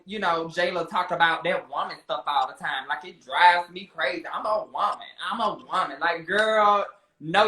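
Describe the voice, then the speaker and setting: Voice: mid-range voice, bright, forceful tone. A person in a heated casual debate as a talk guest, speaking in a sassy, insistent way and repeating points for emphasis. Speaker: guest discussing a reality show. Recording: roomy echo.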